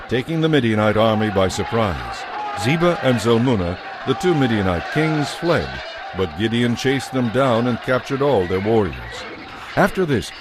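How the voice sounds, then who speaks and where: A man narrating a scripted audio Bible as a measured, solemn storyteller. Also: medium pitch, background noise.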